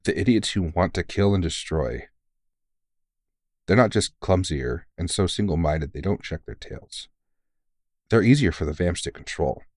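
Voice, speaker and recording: A man playing a kindly, tired veteran vampire hunter in an intimate audio roleplay, reassuring a rookie in an informal, gently teasing and sweary way. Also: deep voice, expressive, a little slow, long pauses, no echo, clean studio sound. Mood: encouraging, wry.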